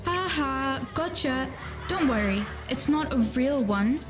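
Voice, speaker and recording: A woman voicing a confident, teasing know-it-all young kid in an animated story. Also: high-pitched, measured pace. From Pakistan. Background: background music.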